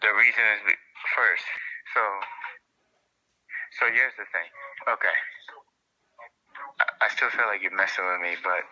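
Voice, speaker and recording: A man's voice: lowish voice, unhurried, pauses often, pushed and bright. A man on a phone call, incredulous and pushing back, stammering through restarts. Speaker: relative being pranked. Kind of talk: casual phone chat. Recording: muffled phone line.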